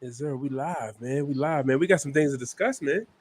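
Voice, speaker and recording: A man's voice: mid-range voice, a little fast, darkish tone, slightly rough. A man with a stuffy head cold hosting a laid-back wrestling talk show, tossing "man" into almost every line. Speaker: podcast host. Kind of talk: casual wrestling chat.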